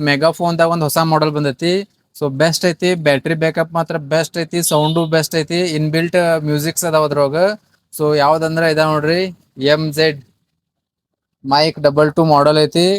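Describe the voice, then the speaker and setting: A man with a North Karnataka Kannada accent hosts a casual product unboxing. His voice sits a little high and stays very even in pitch as he repeats his selling points, dropping in English words. The sound is dry, with little room in it. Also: no echo. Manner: repetitive salesman patter with English words mixed in. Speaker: shop video host and product presenter.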